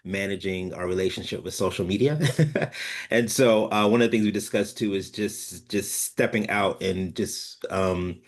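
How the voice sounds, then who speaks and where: Group member: a man with a somewhat low voice, reflective, uses lots of fillers, on a video call. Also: moderate pace. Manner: reflective, many "uh" and "just".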